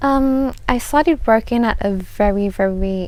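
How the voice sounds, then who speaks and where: A young woman from England answering interview questions in a relaxed way, with ums and restarts. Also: medium pitch, normal speed, slight background noise. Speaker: guest.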